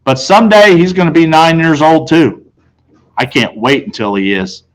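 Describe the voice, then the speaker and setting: A man's voice: on the high side, normal speed. American man reading a children's story aloud. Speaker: teacher reading aloud to class. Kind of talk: audiobook-style read-aloud of children's novel, first person.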